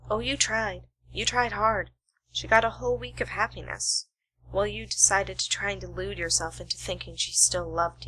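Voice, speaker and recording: A woman's voice: mid-range voice, sharp tone, low-quality recording. A woman playing a shrewd, self-assured detective in an amateur radio play, explaining with dramatic deliberation.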